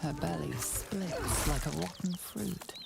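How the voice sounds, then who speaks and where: An ominous dark fantasy game storyteller. Her voice is strikingly deep and full of creak, unfolding slowly and deliberately over a noisy background. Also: expressive, heavily acted. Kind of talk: second-person dramatic game narration.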